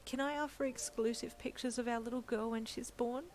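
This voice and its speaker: A woman voicing a gentle, emotional young pregnant wife who speaks softly and gratefully. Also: a little high, steady tone, brisk, a little hiss or hum, voice pushed up, lightly acted. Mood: tender. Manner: soft, tearful and grateful.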